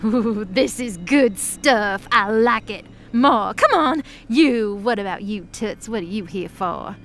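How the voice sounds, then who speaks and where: A woman's voice: mid-range voice, expressive, takes it slowly, a bit bright. A woman playing a gleeful, commanding female demon boss in an audio drama, with a mocking, theatrical drawl. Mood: amused, impatient.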